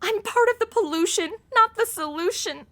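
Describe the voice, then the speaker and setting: A brash, self-absorbed young woman in a comic audio drama. Her voice is sharp and swings widely as she exclaims and tosses out sarcastic slang. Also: very high-pitched, animated, normal speed, exaggerated voice. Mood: indignant, shocked. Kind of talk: comedic audio drama acting.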